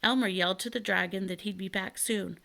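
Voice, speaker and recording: Female storybook reader, mid-pitched voice, scripted narration for children. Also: steady tone, a little fast, vocal fry, bright, forceful tone.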